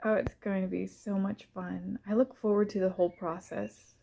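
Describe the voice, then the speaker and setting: A woman gently leading a guided visualization in the first person, soft and unhurried, pausing between short phrases. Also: medium pitch, level tone, vocal fry.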